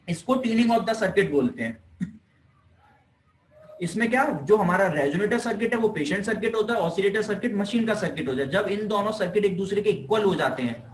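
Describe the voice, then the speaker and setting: High-pitched Indian man teaching, echoey room. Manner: repetitive, instructive, addresses students directly. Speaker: physiotherapy teacher. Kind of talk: informal online lecture, explaining step by step. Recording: roomy, echoey sound.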